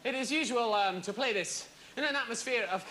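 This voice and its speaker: A man's voice: very high-pitched, a little fast, heavy background noise. A man doing a flustered bumbling pianist. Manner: fumbling, hesitant, breathless mutters. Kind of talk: comedy sketch performance. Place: TV studio stage before audience. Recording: live studio with loud audience laughter.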